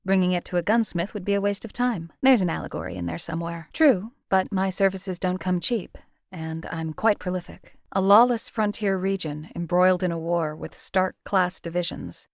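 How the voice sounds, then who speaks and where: Woman acting, cold female mercenary assassin, slightly deep voice, deadpan, articulate, detached, video game dialogue, close dry sound. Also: moderate pace, creaky, no echo. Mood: matter-of-fact, detached. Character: cold, emotionless female assassin for hire in a post-apocalyptic wasteland.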